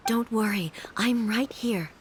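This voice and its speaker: A woman playing a calm, regal female ruler in a video game, speaking in a formal, measured, solemn way. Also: a little high, noisy background, lightly acted. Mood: calm, reassuring. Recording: music behind the voice.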